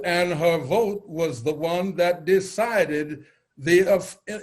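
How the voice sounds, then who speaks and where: A man reading as a town historian in a staged reading, formal and deliberate. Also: high voice, rough recording. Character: male town historian recounting a colonial vote. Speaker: reader in a reader's theater.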